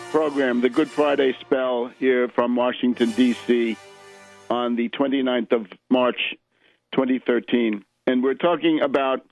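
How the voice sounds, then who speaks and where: A man's voice: mid-range voice, dry recording. Emphatic American man on tinny radio line. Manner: emphatic, oratorical, urgent exhortation. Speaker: political radio host. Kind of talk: opinionated radio monologue. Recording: tinny band-limited radio line, dry.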